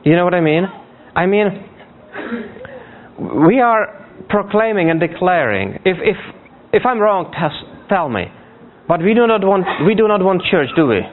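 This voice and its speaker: A man from Africa preaching conversationally and earnestly, often repeating words haltingly and checking in with his listeners. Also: high-pitched, lively intonation, slight background noise. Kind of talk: conversational sermon. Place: speaking to a church congregation. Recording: tinny, phone-like narrow band.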